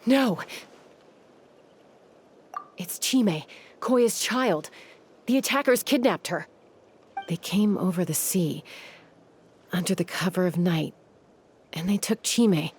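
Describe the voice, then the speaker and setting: An actress playing a calm, serious, protective young woman warrior in a video game, speaking in a measured way. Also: fairly low pitch, slight background noise. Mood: grave, urgent.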